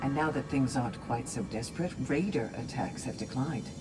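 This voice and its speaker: An actress playing a composed, earnest female warrior in a video game, speaking in a measured, solemn, articulate way. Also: rumbling low. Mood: hopeful. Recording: distant from mic, music behind.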